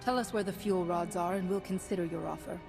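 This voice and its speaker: Resolute female Jedi, low-ish pitch, composed, game cutscene, music behind. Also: fast talker, creaky. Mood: firm. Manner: composed, firm. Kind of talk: video game cutscene acting.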